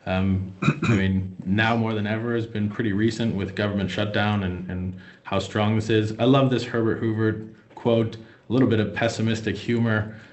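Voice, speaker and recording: American man, low voice, roomy echo. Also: little pitch movement, normal speed, a little mellow. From United States. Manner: hesitant, many fillers and restarts. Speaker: wealth manager presenting a webinar. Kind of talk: webinar presentation.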